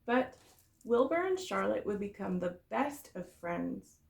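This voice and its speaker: Creaky-voiced woman reading a children's story. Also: mid-range voice, measured pace. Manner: expressive, explanatory storytelling for children. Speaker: kindergarten teacher reading a story aloud. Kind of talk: storybook read-aloud for young children.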